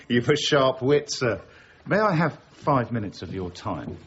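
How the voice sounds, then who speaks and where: An actor in a period radio drama playing a brazen, ingratiating swindler who talks with glib, flattering courtesy. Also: male voice, medium pitch, slow, husky, lo-fi sound, exaggerated voice. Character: smooth, brazen male swindler and counterfeiter, ingratiating.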